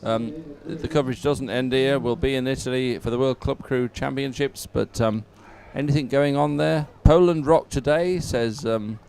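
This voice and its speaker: A man co-hosting live sports coverage, explaining things in a measured way. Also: medium pitch, creaky, a little mellow, a little hiss or hum. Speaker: sports commentator and co-host. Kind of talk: live sports broadcast commentary.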